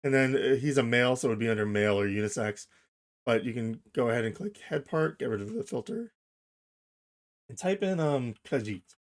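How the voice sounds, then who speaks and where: A man hosting a software tutorial, explaining each step informally and thinking aloud as he goes. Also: medium pitch, lively intonation, a little fast. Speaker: tutorial host. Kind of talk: casual software tutorial narration.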